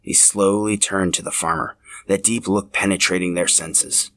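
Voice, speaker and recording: A man with a low voice narrates a piece of fiction. He reads from a script in the role of a podcast host telling a story. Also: steady tone, moderate pace, fairly bright tone.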